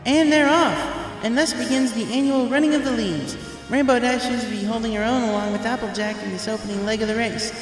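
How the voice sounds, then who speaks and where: A man voicing a bright, bookish female pony who co-commentates a race in a storybook reading, with an eager announcer delivery. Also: extremely high pitch, fairly quick, hardly any pauses, heavy reverb, long reverb tail, soft background music, pitched above the performer's own voice, low-quality recording.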